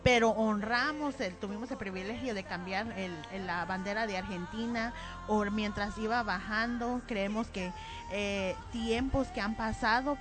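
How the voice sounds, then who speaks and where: High-voiced woman co-hosting church radio. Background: music.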